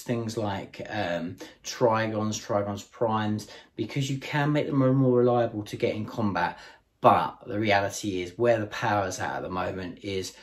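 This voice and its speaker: A man with a hoarse, fading voice hosting a casual, enthusiastic tabletop wargame strategy tutorial, chatting to viewers as guys. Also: fairly low pitch. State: hoarse, losing his voice. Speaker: tabletop wargame channel host.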